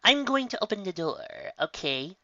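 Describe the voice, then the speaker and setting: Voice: mid-range voice, sing-song, moderate pace, sharp tone, dry recording, voice pushed up. A reader voicing a cautious, proud female unicorn magician who calls out in a hesitant, polite way. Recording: dull, muffled home recording.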